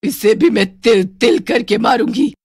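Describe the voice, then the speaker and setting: A woman voicing a vengeful witch ghost in a horror cartoon, with a raspy, menacing delivery. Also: on the high side, measured pace, darkish tone, no echo, clean studio sound, voice pushed up. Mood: vengeful, gloating. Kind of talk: horror cartoon villain acting.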